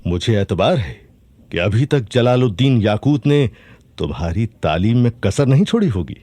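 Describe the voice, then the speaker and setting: Husky, commanding old-world sultan. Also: male voice, on the low side, very animated, warm tone, no room sound. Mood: regal, fond. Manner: regal, measured, declamatory. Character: commanding Sultan, doting father of a warrior princess. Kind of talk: Hindi historical radio drama acting.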